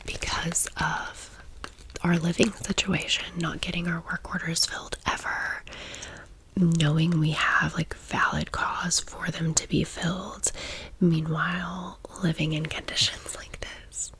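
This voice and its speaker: A woman's voice: lowish voice, little pitch movement, measured pace, fairly bright tone. A woman in her thirties whispering a personal story in ASMR style. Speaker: ASMR vlogger. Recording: clearly noisy recording.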